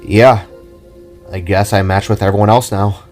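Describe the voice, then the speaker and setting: A shy young hero, as a man reads him, talking in a low, unsure voice. He hesitates and sounds nervous about himself, in a homemade fan audio drama with faint music behind. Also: steady tone, normal speed, darkish tone, lightly acted. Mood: hesitant.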